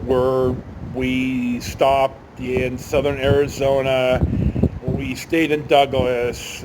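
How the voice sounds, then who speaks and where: An older man telling a casual anecdote in a folksy, hesitant, rambling way with some mild swearing. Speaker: storytelling vlogger. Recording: noisy, with vehicle rumble behind.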